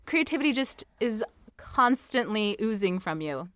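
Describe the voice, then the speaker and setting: A woman's voice: medium pitch, big pitch swings, unhurried. A woman interviewing a guest as a podcast host in casual chat, with an encouraging, supportive manner.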